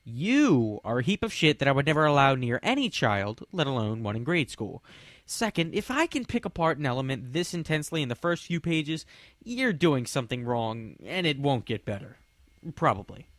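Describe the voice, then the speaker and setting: A man's voice: medium pitch, very animated, normal speed, a bit bright, dry recording. A young man reading a sarcastic, mocking review of a comic, with snarky asides.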